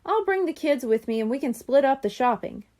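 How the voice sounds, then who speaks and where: A woman's voice: high voice, animated, brisk, warm tone. A woman reading aloud while voicing a kind, proud father in a children's storybook.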